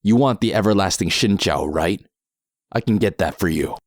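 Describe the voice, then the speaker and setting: Man playing a deep-voiced, coolly menacing mercenary. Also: no echo, clean studio sound, close to an everyday voice. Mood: coolly businesslike. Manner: measured, unhurried, coolly threatening. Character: calm masked mercenary man, cool and menacing. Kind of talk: audio drama acting.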